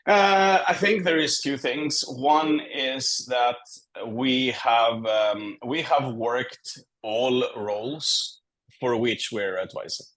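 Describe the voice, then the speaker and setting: Measured, candid man in a business interview. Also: mid-range voice, fairly bright tone. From Greece. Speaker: startup co-founder and consultant, interview guest.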